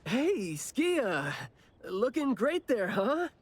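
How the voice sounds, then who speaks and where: A playful, nonchalant young slacker from an anime game. The delivery is teasing and sing-song, with highly animated pitch swings. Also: drawn-out words, a little hiss or hum. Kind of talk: anime video game voice acting.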